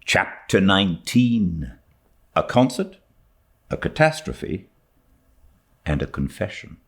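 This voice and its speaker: Unhurried, expressive British male narrator. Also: low-pitched. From Britain. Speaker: audiobook narrator. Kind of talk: expressive scripted storybook narration.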